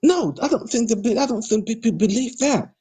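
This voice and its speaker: Husky, hesitant Nigerian man. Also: extremely high pitch, a little fast, warm tone. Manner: hesitant, many fillers and restarts; grows emphatic and insistent when criticising politicians. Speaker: political party spokesman. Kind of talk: audio discussion replying to a participant.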